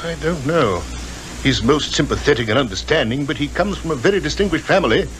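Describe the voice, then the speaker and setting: A man's voice: on the high side, lively intonation, a little fast, a bit bright. An actor playing a worried titled father with an upper-class British accent, formal, hesitant and reserved in 1950s radio drama style. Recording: noisy 1950s radio recording.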